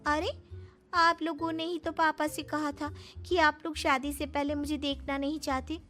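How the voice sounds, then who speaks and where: A woman's voice: high-pitched, little pitch movement, normal speed, pushed and bright, soft background music. A woman voicing a coy, cheeky young bride in a cartoon story. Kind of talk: cartoon voice acting.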